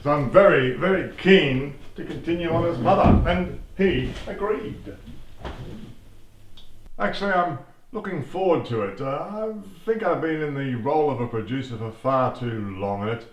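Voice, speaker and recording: Pompous, hesitant radio-producer type male actor, higher male voice, comedy drama, distant echoey recording. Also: expressive, a little hiss or hum, lightly acted. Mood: pleased, pompous. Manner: halting, hesitant delivery. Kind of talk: amateur-style comedy drama dialogue. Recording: echoey room, distant microphone.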